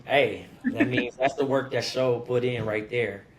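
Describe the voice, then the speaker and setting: A man's voice: mid-range voice, steady tone, unhurried, rough recording. A man interviewing a guest, asking questions in a casual, conversational way with friendly asides.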